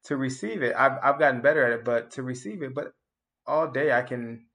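Chatty man with halting restarts. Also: medium pitch, a bit bright. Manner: reflective, rambling with many restarts and fillers. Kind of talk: casual podcast chat.

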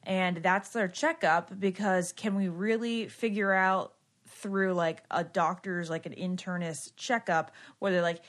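A young woman with a distinctly nasal voice chats casually at a middle pitch. She is curious, firing off quick follow-up questions in an easy conversational manner. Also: fairly even intonation. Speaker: podcast co-host. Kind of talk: casual chat.

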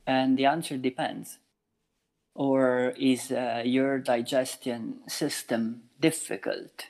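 Thoughtful man in his forties, plain pitch. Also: fairly even intonation, slow pace, a little mellow. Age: 40s. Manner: thoughtful, explains with everyday examples, frequent restarts. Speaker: meditation teacher and flute maker. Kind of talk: casual interview answers.

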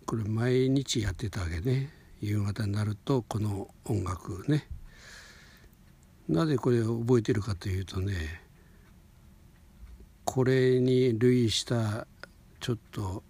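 An old man in his eighties tells his recollections at a very slow, halting pace. His voice is extremely husky and rough, with a gravelly creak. He wanders gently from one thought to the next, unscripted. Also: lowish voice. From Hokuriku, Japan. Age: 80s. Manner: halting, meandering, with sentence-final softeners. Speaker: storyteller recounting memories. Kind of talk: unscripted personal reminiscence.

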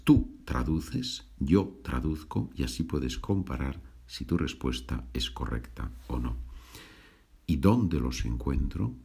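A man's voice: very deep voice, creaky, a little mellow, husky. A man hosting a language-learning podcast, patiently repeating and translating each sentence. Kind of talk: slow bilingual teaching podcast.

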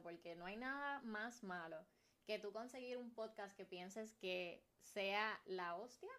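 A woman's voice: mid-range voice, a bit bright. Laughing Puerto Rican woman in her twenties. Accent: Puerto Rican Spanish. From Puerto Rico. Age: 20s. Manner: laughs often, mixes in English words. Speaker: podcast co-host. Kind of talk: casual chat.

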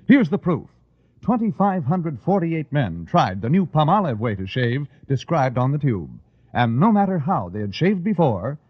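A man's voice: fairly high pitch, husky, dry recording. A man reading a 1940s radio commercial with emphatic salesmanship. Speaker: commercial announcer. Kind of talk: 1940s radio advertising pitch. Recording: dull, dry old radio recording.

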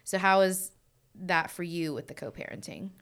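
A woman's voice: lowish voice, expressive, moderate pace, vocal fry, a bit bright, clean tone. A woman hosting a podcast in casual conversation, chatty and asking long, winding questions. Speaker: podcast host.

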